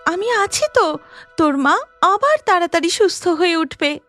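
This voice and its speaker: Casting: woman as devout, fretful cartoon village wife, very high voice, exclamatory, swooping delivery. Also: lively intonation, normal speed, fairly bright tone, faint music behind. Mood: worried, soothing. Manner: pleading, exclamatory, emotional.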